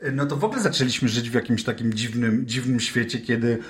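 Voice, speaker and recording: A man with an average-pitched voice talks casually on a football panel. He thinks aloud, stopping and doubling back over his words as he builds a point. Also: steady tone, quick pace. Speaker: podcast panellist.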